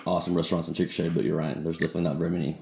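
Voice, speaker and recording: A man hosting a podcast, reacting quickly and asking follow-up questions in casual chat. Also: low-pitched, flat delivery, gravelly, warm tone. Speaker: podcast host. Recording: roomy echo.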